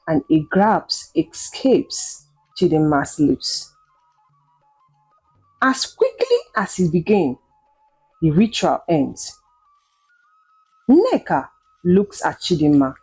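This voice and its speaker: Casting: female folktale narrator, dramatic scripted read, widely moving pitch, relaxed dark tone. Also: breathy edge, rough recording. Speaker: storyteller.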